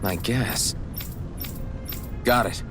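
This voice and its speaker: An actor playing an earnest young male rebel, loyal and quick to anger, in video game voice acting. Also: mid-range voice, music in the background. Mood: matter-of-fact.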